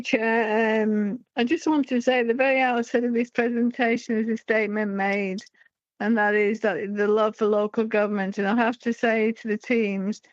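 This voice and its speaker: Australian woman on a parliamentary committee, her voice going hoarse, speaking earnestly and evenly over a dull, lo-fi line. Her tone stays level throughout. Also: fairly high pitch, dry recording. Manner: earnest, thanking warmly. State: losing her voice. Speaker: committee member.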